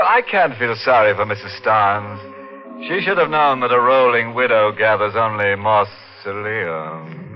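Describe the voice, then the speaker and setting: A sardonic horror-show host with a low, unhurried voice that savors every grim pun. He takes his time with a gleeful, macabre relish. The sound is a muffled old radio broadcast with music underneath. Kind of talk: 1950s radio drama narration.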